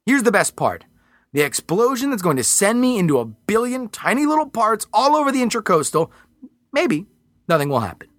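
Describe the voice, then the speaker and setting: A man's voice: sits high, sing-song, normal speed, fairly bright tone, dry recording, pitched above the performer's own voice. A man playing a defiant, desperate boatman. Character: desperate man holding off rescuers from a boat.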